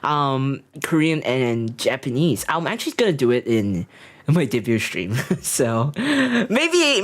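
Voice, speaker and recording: Giggly, self-conscious young woman streamer. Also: low-pitched. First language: Mandarin. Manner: giggly, self-conscious, many fillers and restarts. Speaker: VTuber streamer. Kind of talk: casual chat with live chat.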